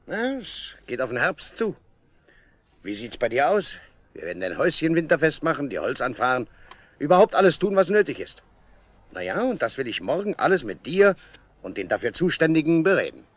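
An actor playing a caring, jovial village official in a 1970s German radio play, reassuring and chatty in an avuncular way. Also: male voice, fairly high pitch, big pitch swings, a little fast, dry recording. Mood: caring, brisk.

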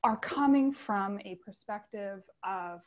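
A woman's voice: mid-range voice, a little slow, a little mellow. A woman speaking on a video call panel, explaining her view in an earnest, emphatic way that builds her points step by step. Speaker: panelist, data science manager.

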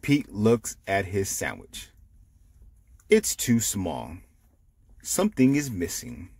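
A man reading a picture book aloud to children, explaining it simply with animated asides. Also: lowish voice, takes it slowly, breathy edge, no echo. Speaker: storytime reader.

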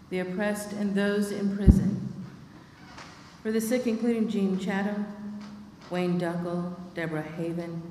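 A woman's voice: lowish voice, mellow, slight background noise. A middle-aged woman at a church lectern, steadily reading the prayers of the people and a long list of names. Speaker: lay reader leading prayers. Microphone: lectern microphone. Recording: echoey church from far off.

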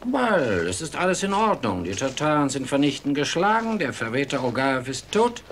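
An actor playing a businesslike, phlegmatic English newspaper reporter in a German radio play, with droll English interjections. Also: male voice, medium pitch, very animated, moderate pace, a bit bright, slight background noise. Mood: matter-of-fact.

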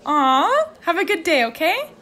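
A woman's voice: very high-pitched, big pitch swings, unhurried, sharp tone, exaggerated voice. A woman playing a chirpy, sweet and friendly young coffee-shop barista in a radio play. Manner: chirpy, sweet customer service.

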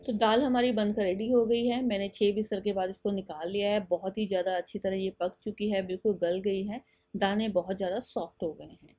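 A woman talks viewers through a recipe in a patient, instructive way, step by step. Her voice is mellow and soft-edged. The sound is close and dry, with no room around it. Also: mid-range voice, steady tone, a little fast, no room sound. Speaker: cooking channel host.

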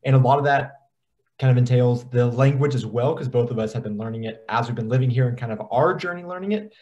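A man's voice: mid-range voice, fast, a little mellow, muffled recording. A man from the United States, introducing his channel casually on a video call. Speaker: YouTuber. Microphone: webcam on a video call.

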